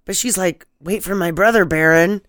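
A speaker takes part in a casual film recap, matter-of-fact and asking questions about the story. The voice is smooth and clear. The sound is dry and close. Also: mid-range voice, normal speed, fairly bright tone, no echo. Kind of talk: casual movie recap chat.

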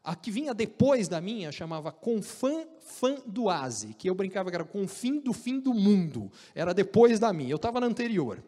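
A man with a Brazilian Portuguese accent telling an animated, joking story about his career, with frequent restarts. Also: high-pitched, moderate pace, few pauses, long reverb tail. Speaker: speaker recounting career story. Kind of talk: casual storytelling talk.

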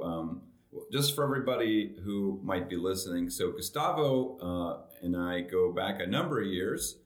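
A man hosting a casual interview in an informal, meandering way. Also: fairly low pitch. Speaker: podcast host. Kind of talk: casual, hesitant interview introduction. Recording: roomy, echoey voice recording.

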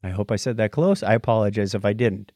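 A man's voice: lowish voice, fast talker, dark tone, no room sound, clean studio sound. A man hosting a podcast in a casual, playful and enthusiastic chatting style. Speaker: podcast host.

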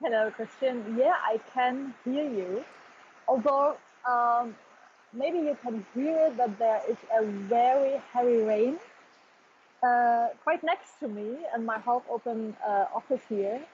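A woman's voice: on the high side, slow pace, darkish tone, a little hiss or hum. A middle-aged woman from eastern Germany with a German accent, answering interview questions over a dull remote call, sometimes searching for words. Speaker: podcast guest. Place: half-open office in tropical rain.

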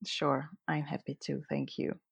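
A woman with an Italian accent speaks English as a podcast guest, and Italian is her first language. Her voice sits deep for a woman and is recorded dry and close. She pauses often and reaches for words. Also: little pitch movement, gravelly, husky, no echo. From Italian-speaking Switzerland. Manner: hesitant, searching for words, frequent fillers and laughs. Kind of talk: casual conversation.

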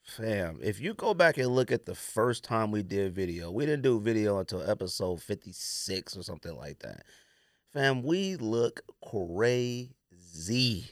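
A man's voice: on the low side, big pitch swings, a little slow, dry recording, studio quality. An American man hosting a podcast, chatting casually and jokingly in slangy speech about sports and sneakers. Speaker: podcast host.

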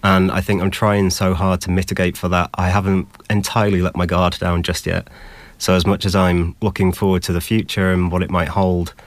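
Low-voiced young British man. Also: little pitch movement, fairly quick, dry recording. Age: young adult. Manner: articulate, thoughtful. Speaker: interview guest sharing his experience. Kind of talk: reflective personal account.

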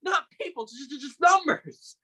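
Young man as a stammering teen boy, bright, husky and very up-and-down. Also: high-pitched, big pitch swings, normal speed, heavily acted. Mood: outraged. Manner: stammering, emotional, voice breaking into outbursts. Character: distraught teenage boy Noah, anxious student. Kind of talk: student audio drama.

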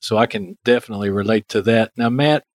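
A man's voice: lowish voice, fast talker, no room sound. Male podcast host, Texan accent, casual and folksy, dry recording. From Texas, United States. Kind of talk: conversational interview with scripted sponsor read.

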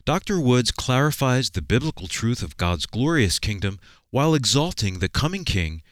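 A man's voice: mid-range voice, sing-song, moderate pace, no echo, clean studio sound. A man working as a radio announcer, giving a scripted promotional read.

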